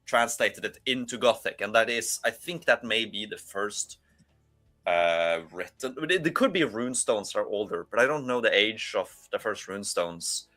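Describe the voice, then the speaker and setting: A man's voice: fairly low pitch, animated, moderate pace, sharp tone. A man from Norway with a Norwegian accent, rambling through a casual panel chat with many fillers and restarts.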